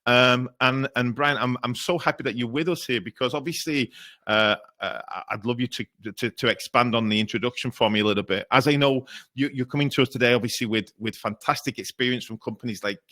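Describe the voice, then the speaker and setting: A man hosting a webinar over a video call, chatty and filling pauses with lots of ums and restarts. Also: mid-range voice, sharp tone. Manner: chatty, many fillers and restarts. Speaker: webinar host.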